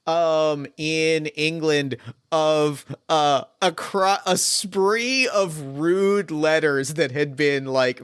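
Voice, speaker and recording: A man hosting a casual podcast chat, animated and quick to agree. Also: fairly high pitch, smooth, no room sound, clean studio sound. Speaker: game master and podcast host.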